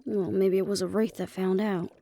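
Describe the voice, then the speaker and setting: A woman's voice: mid-range voice, fairly even intonation, normal speed, mellow, a little hiss or hum, lightly acted. A proud, impatient vampire woman, acted by a female performer in a fantasy audio drama. Her mid-range voice is sarcastic and often incredulous. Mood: dry. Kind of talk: fantasy audio roleplay acting.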